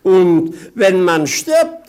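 An actor playing a witty, idle jester of a companion with playful irony, in a theatrical 1950s German radio drama style. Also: male voice, sits high, big pitch swings, very slow, darkish tone, exaggerated voice. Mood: amused, ironic. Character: witty idle male companion, mocking jester spinning absurd schemes.

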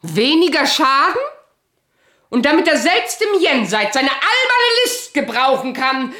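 A woman playing a proud, bitter abandoned wife in a radio drama, sharp-tongued, imperious and sardonic in a theatrical style. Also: high-pitched, sing-song, unhurried, exaggerated voice. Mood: indignant, haughty.

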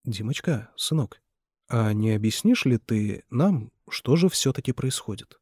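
A man voicing a stern but careful middle-aged father questioning his son, in a husky voice. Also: mid-range voice, animated, measured pace, darkish tone, no echo, clean studio sound. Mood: firm. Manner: firm, coaxing questions.

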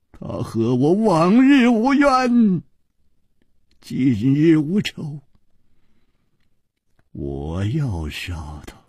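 A man puts on an unruffled schemer in a wuxia audiobook. The words are drawn out very slowly with regretful, condescending sighs, the pitch swooping widely. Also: dry recording, studio quality, heavily acted.

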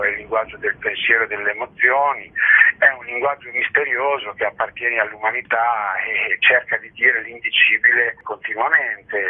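A man's voice: mid-range voice, slightly rough. A man being interviewed as a festival organiser, explaining things in an earnest, reflective way and often rephrasing himself. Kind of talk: radio interview, spontaneous explanation. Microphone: phone call. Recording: band-limited telephone line on radio.

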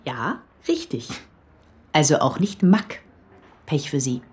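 An actress playing a sharp, curious investigator in a German radio crime drama, inquisitive and expressive. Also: female voice, sits low, takes it slowly, full character voice. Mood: curious, excited.

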